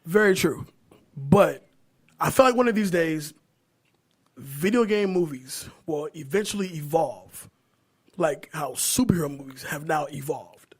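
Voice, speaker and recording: High-pitched man in casual podcast chat. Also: a little slow, slightly rough. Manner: animated slangy talk, repeats phrases for emphasis. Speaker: podcast co-host. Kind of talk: casual pop-culture chat.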